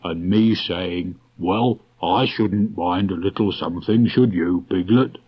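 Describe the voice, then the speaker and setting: Man as a slow, husky, gentle bear. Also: low voice, heavily acted. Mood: thoughtful, content. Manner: slow, pondering, simple. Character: slow-witted, gentle, contented storybook bear. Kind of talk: storybook character reading. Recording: dull restored cassette tape.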